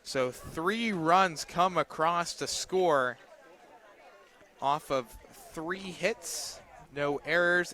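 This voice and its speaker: Higher-voiced man calling live softball. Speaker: radio play-by-play announcer. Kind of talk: live softball play-by-play commentary. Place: outdoor softball game. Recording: noisy outdoor broadcast with crowd behind. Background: crowd and outdoor ambience.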